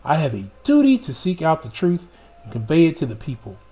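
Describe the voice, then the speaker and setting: A man's voice: a little high, wildly swooping pitch, brisk, dark tone. A man reading aloud as a principled female reporter from a video game, in a formal, earnest way. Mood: resolute.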